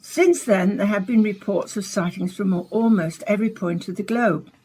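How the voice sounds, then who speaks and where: An actress playing a composed, courteous radio science presenter in a radio play, speaking in a polished, formal way. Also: female voice, medium pitch, normal speed, mellow, slight background noise, natural voice. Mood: bright, presenterly. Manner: polished, formal, carefully phrased. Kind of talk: scripted radio play, science broadcast delivery.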